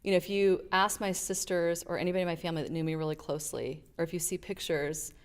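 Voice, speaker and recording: A middle-aged woman, a guest on an interview podcast, telling stories from her life in an animated way and acting out the voices in them. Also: on the low side, quick pace, smooth. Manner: animated storyteller, acts out quotes. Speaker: guest, leadership advisor. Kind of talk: casual interview storytelling.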